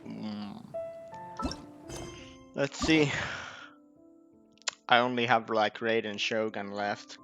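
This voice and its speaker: A man giving casual gameplay commentary, thinking aloud in a halting, repetitive way as he plans. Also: lowish voice, fairly even intonation, fairly bright tone. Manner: halting, repetitive, planning as he goes. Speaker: gaming video creator. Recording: dull lo-fi mic, game music behind. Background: faint game music.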